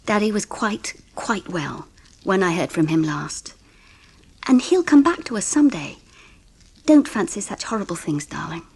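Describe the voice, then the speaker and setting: Tender English mother, narrator in audio drama. Measured, husky voice, lively intonation. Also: mid-range voice, poor audio. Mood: tender, tired. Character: gentle, weary English mother recounting family events. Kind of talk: scripted first-person narration and audiobook drama.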